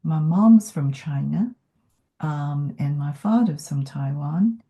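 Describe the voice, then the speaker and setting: A woman from Hong Kong, whose first language is not English, speaking in a thoughtful podcast conversation with many pauses and fillers. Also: sits low, animated, mellow. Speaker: podcast guest. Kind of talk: reflective conversation.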